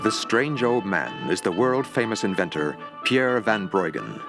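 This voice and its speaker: A man's voice: lowish voice, big pitch swings, a little slow. A man narrating a mock documentary in a grave, dramatic tone. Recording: music underneath.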